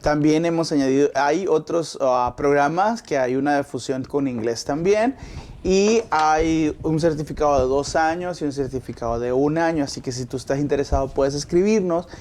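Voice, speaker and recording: A woman's voice: sits low, moderate pace, darkish tone, a little hiss or hum. A woman hosting a podcast who jokes and teases the guest in a casual interview chat. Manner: joking, playful, teasing. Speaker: podcast host.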